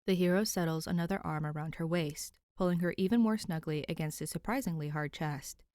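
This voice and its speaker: A woman's voice: low voice, a little fast, darkish tone, no echo. A woman reading a scripted story aloud as an audiobook narrator. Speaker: podfic narrator.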